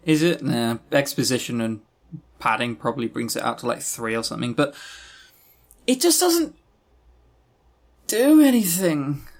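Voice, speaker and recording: A man's voice: mid-range voice, big pitch swings, unhurried, long pauses. A man co-hosting a podcast, giving blunt, opinionated, sweary reviews in a casual chatty way. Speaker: podcast co-host.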